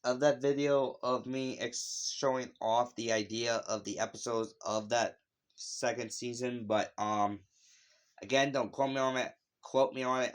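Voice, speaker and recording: A man's voice: mid-range voice, smooth. A man hosting a casual, unscripted video review, talking in a rambling, conversational way that drifts into asides. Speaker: YouTube video host reviewing animated shows. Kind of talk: casual unscripted opinion vlog.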